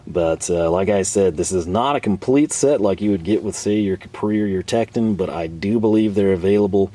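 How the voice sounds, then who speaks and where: A man hosting a tool video in his workshop, chatting casually and rambling with fillers, his voice a bit hoarse from a cold. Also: on the low side, warm tone. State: slightly hoarse, losing his voice. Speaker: tool channel host. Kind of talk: casual show-and-tell talk. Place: home workshop.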